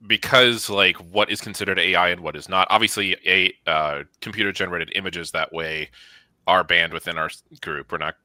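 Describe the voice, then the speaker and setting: Very bright, forceful low-voiced man. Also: no room sound. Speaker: audio drama creator, podcast guest. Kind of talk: casual interview chat.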